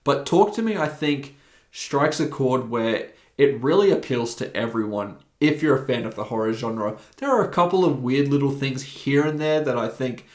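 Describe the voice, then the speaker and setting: Young Australian man, mid-pitched, eager film-review monologue, echoey room. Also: moderate pace. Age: 20s. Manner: enthusiastic, animated talker.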